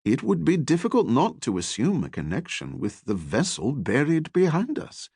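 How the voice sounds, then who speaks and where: A man's voice: fairly high pitch, wildly swooping pitch, moderate pace, vocal fry, darkish tone, husky, no room sound, studio quality, voice pushed up, full character voice. A man voicing an eager elderly archaeology professor, courteous and scholarly, who lectures with animation in an audiobook. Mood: intrigued.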